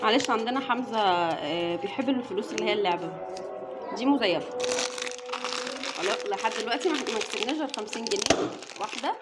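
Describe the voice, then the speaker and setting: Fast-talking Egyptian woman vlogger. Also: fairly high pitch, animated. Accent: Egyptian Arabic. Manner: chatty, addresses viewers directly, counts items aloud. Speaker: family vlogger and mother. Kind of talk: casual unboxing vlog chat.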